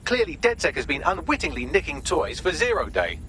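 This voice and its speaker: An actor voicing a chatty, sardonic AI assistant in a video game, dry and wordy. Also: male voice, fairly high pitch, wildly swooping pitch, fairly quick, pushed and bright, a little hiss or hum, full character voice. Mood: briskly analytical. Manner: wry, sardonic, verbose.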